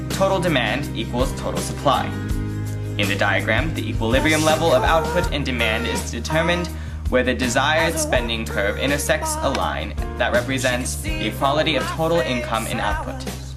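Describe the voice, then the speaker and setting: A woman narrating a sketch by reading economics text aloud. Her voice is extremely low for a woman yet moves around a lot in pitch, with music playing clearly underneath. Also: expressive, normal speed. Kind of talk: school project comedy narration.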